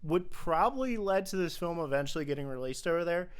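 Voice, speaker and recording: Higher-pitched, clear-voiced man chatting about films. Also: animated, fast. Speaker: podcast host. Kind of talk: casual film discussion.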